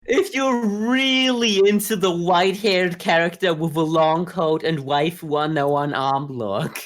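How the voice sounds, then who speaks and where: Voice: medium pitch, slow pace. A podcast co-host talking casually through a review, with informal, sweary phrasing.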